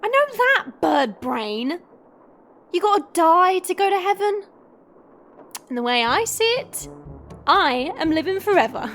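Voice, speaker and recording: A woman's voice: very high voice, big pitch swings, measured pace, pauses often, a bit bright, soft background music, heavily acted. A woman playing a cheeky, chatty young monster girl in an audio roleplay, playful, teasing and theatrical. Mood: defiant, teasing.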